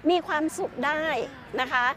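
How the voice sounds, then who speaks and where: An older woman speaks hesitantly in an outdoor interview, pausing often with fillers. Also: fairly bright tone. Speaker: local interviewee. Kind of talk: casual interview talk. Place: outdoors at an ancient stone temple. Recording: outdoor with faint ambience.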